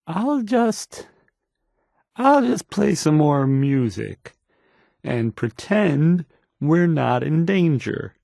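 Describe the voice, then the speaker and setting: A man's voice: fairly high pitch, sing-song, creaky, warm tone, no echo, studio quality, exaggerated voice. A man playing an awkward, unsure young radio DJ in a video game, stumbling hesitantly through news reports. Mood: nervous, fumbling. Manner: halting, stammering, hesitant, trailing off.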